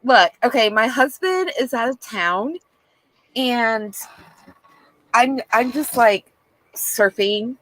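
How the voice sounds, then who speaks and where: A woman's voice: on the high side, lively intonation, slow pace, pauses often, fairly bright tone, dry recording, rough recording. A woman in her fifties, chatting as a guest on a live stream in a bubbly, self-deprecating way. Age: 50s. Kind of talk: casual chat on a live stream.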